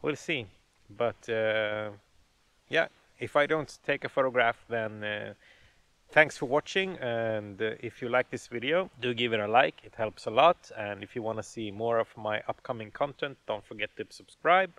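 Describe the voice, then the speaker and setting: Man in his thirties, outdoor vlogger, mid-pitched and easygoing, lots of filler words, camera at arm's length. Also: normal speed, no room sound. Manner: relaxed, hesitant with many fillers. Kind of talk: casual outdoor vlog. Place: outdoors in a forest by a lake. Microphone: camera held at arm's length.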